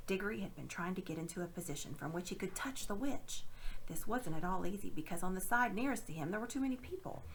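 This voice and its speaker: Animated woman reading a story aloud. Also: medium pitch, a little hiss or hum. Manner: dramatic, animated. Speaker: storybook reader. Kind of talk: expressive read-aloud storytelling.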